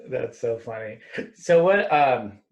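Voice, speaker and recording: Guy from russia hosting a chill interview on a video call, mid pitch, lots of likes. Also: takes it slowly. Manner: relaxed, many "like" fillers, laughs easily. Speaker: podcast host.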